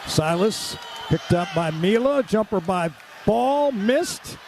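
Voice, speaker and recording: Male American radio sports play-by-play caller; high, animated voice, clipped phrasing, noisy arena behind him. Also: slow. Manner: clipped present-tense phrases. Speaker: play-by-play announcer. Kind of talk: live radio basketball play-by-play commentary. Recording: clear crowd and arena background noise.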